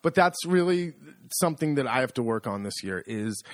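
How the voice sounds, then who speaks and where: An American man co-hosting a casual podcast chat, self-deprecating and animated, often restarting his sentences. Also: mid-range voice, normal speed, no echo, studio quality. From United States. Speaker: podcast co-host.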